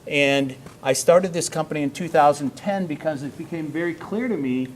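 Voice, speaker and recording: A loud-voiced middle-aged man giving a business presentation at a meeting, with frequent fillers and "okay?" check-ins to the room. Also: fairly high pitch, moderate pace, slight background noise. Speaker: wellness program presenter. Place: school district meeting room.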